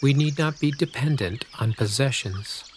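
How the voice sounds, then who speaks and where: A man narrating a guided meditation, calm and unhurried, speaking in short scripted phrases with long pauses. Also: medium pitch. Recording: clear nature-sound noise under voice. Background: birdsong and pouring water.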